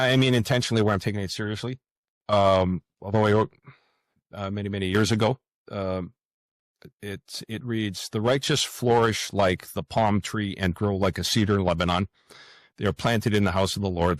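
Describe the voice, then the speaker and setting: An older man with a low voice answers as a guest author in an interview. He speaks earnestly but haltingly, doubling back and repeating words. The sound is dry, with little room on it. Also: no echo.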